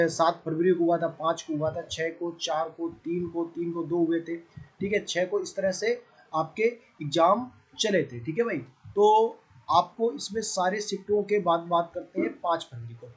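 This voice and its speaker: A man's voice: sits high, rough recording. A man with a North Indian accent giving an informal, conversational coaching lesson, often checking in with his listeners. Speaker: exam coaching teacher.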